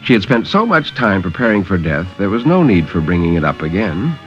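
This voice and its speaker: A man narrating a story in a theatrical 1950s radio style with measured pauses. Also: low voice, sing-song, faint music behind. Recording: dull vintage 1950s radio recording.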